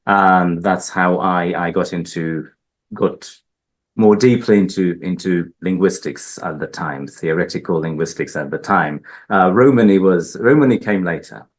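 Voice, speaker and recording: Man, very low voice, hesitant, lots of ums and restarts, interview guest. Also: measured pace. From Hungary. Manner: hesitant, many fillers and restarts. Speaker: linguist guest. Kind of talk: interview answers. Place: research institute building.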